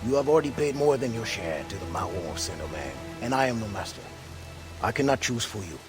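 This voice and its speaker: Man playing an earnest rebel leader, lively pitch. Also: a little fast, close to an everyday voice. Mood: earnest, measured. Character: earnest male Maroon rebel leader, respectful ally. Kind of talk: video game cutscene acting. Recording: music and rain behind the dialogue. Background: game music, rain.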